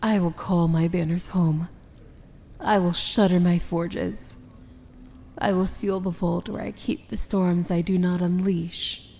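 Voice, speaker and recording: A woman playing a proud, dangerous evil princess in an ASMR fantasy romance roleplay, speaking softly and formally with a breathy, measured, regal delivery. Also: fairly low pitch, steady tone. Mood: solemn, resolute.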